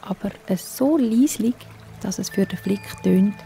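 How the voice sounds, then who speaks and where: A woman with a Swiss German accent, telling a bedtime story in a soft, hushed, soothing way. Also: mid-range voice, unhurried, gravelly, faint music behind.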